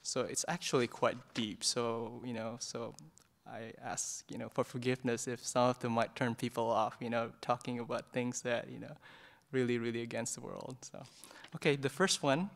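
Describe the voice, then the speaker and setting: A middle-aged monk from Indonesia talks through a book at a hesitant, gentle pace, full of restarts and fillers. His voice is mid-pitched with a gravelly edge. He speaks into a stand mic in a meditation hall. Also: steady tone, normal speed, hardly any pauses. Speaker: Buddhist monk leading a book club talk. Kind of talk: informal book discussion talk. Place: meditation hall in a Buddhist centre. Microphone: stand microphone in front of his chair.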